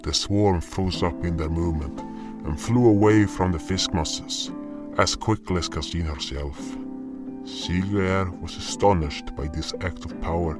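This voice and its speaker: A man playing a grim, furry, clawed hunter who narrates a fantasy audio drama in a dramatic, deliberate way. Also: low-pitched. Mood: grave. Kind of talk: scripted first-person story narration. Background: faint music.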